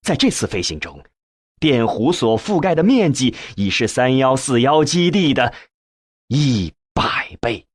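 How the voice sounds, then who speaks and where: A man reading a novel as a scripted narration, with measured, expressive storytelling and a husky voice. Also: medium pitch, dry recording, studio quality. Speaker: audiobook narrator.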